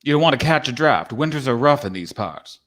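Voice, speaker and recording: Casting: old-time cowboy male actor, medium pitch, rustic, boastful, teasing, live theatre reading. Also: lively intonation, brisk, a bit bright, natural voice. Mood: folksy, curious. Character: folksy, proud old-time cowboy living in a painting. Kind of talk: dramatic script reading.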